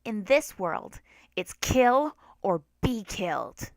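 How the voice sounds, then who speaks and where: A woman's voice: medium pitch, big pitch swings, slow pace, no room sound. A two-faced talking flower from a storybook, acted out by a woman reader. The delivery is emphatic and stretched out, feigning cute friendliness over gleeful malice. The sound is dry and close. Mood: menacing, gleeful.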